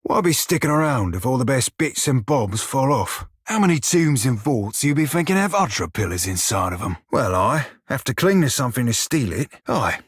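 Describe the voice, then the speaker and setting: Game role: roguish furry sailor, husky growl, bawdy and sardonic, mid pitch, close dry sound. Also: male voice, sing-song, moderate pace, few pauses, no room sound. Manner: salty, colloquial pirate talk, wry and crude. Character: roguish, bawdy, sardonic blue-furred pirate sailor creature. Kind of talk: video game character dialogue and barks.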